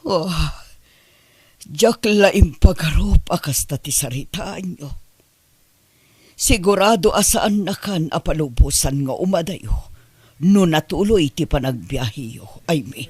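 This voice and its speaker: A woman voicing an older mother in an audio drama, speaking in a husky, halting and anxious way. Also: low-pitched, lively intonation, a little mellow, exaggerated voice. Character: aging mother of a dying son, distraught.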